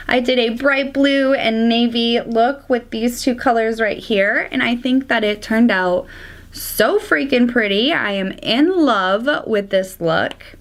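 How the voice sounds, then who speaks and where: A young woman with a slightly congested, raspy voice chatting casually through a makeup tutorial. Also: fairly high pitch. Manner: chatty, drops to soft whispers at times. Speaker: beauty vlogger.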